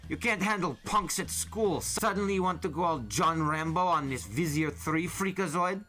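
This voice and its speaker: A skeptical teenage wise guy , performed by a man reading a storybook mocks and sneers. His voice jumps high and sharp with lively, sarcastic swings. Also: moderate pace, faint music behind. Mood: sneering. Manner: mocking, wisecracking.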